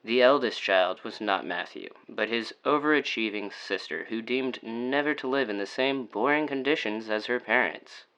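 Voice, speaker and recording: A man's voice: mid-range voice, moderate pace, few pauses, fairly bright tone, clear, resonant tone, close to an everyday voice, low-quality recording. A man playing a brooding, sardonic storyteller in an audio drama, narrating in a wordy, formal, theatrical way. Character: brooding, sardonic male storyteller of a dark tale.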